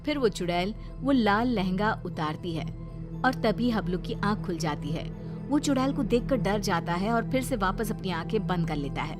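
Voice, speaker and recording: A woman's voice: mid-range voice, expressive, moderate pace, music in the background. A woman storyteller reading a scripted tale as narrator for a children's story.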